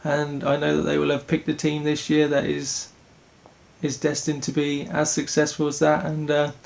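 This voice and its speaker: Flat, gravelly-voiced young man. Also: fairly high pitch. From Denmark. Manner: earnest, halting, many restarts. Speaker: speedway rider. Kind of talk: interview answers.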